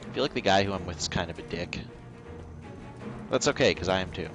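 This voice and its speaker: A man's voice: low voice, brisk. A man giving casual live gameplay commentary as a streamer, offhand and dryly self-mocking. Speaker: game livestreamer. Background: game music.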